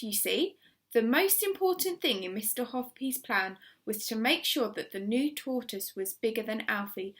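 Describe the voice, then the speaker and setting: Animated, higher-pitched woman reading a storybook. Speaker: teacher reading aloud to schoolchildren. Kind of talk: expressive children's storybook narration.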